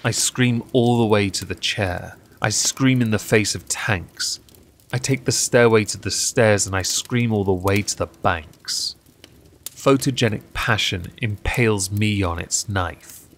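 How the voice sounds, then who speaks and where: Slow, low-voiced man reading poetry. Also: a bit bright. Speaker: poetry reader and channel host. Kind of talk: measured dramatic poetry reading. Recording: clear background noise.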